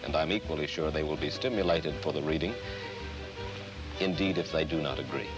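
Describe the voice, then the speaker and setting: A man conducting an interview in a measured, courteous and formal way, from an archive television recording. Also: a bass voice, over music.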